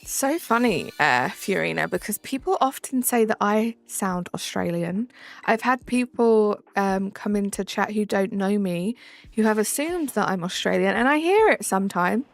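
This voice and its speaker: A woman's voice: mid-range voice, expressive, moderate pace, vocal fry. A young woman with a Southern English accent, chatting casually on a live stream and answering viewers' questions. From Southern England. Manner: chatty, answers chat with fillers like "um" and "like". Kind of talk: casual live-stream chat reading comments.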